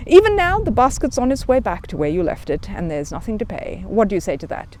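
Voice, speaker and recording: A woman voices an older male police sergeant in a children's storybook. He sounds authoritative yet genial and reassuring, talking briskly with a lively, varied pitch.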